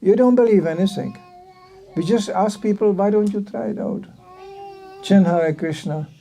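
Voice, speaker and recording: An older man from the Republic, giving a sermon at a temple and telling stories in a lively way, acting out the quoted voices. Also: sits high, moderate pace, slight background noise. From Czech Republic. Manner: animated storytelling, acting out quotes. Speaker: visiting speaker at a temple.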